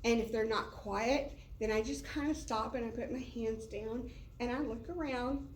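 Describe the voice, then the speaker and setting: A woman's voice: fairly high pitch, moderate pace, a little hiss or hum. A woman teacher talking casually to colleagues in a meeting room, loose and chatty with many fillers. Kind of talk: casual professional development talk. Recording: roomy echo, heard from a distance.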